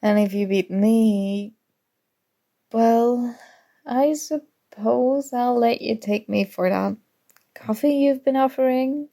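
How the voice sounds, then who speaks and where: A woman's voice: fairly high pitch, slow, gravelly, pauses often, full character voice. A young woman acting in an ASMR audio roleplay as a prickly but grudgingly fond student, teasing and defensive. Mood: bargaining, coy. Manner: teasing, defensive, flustered, soft-spoken tsundere.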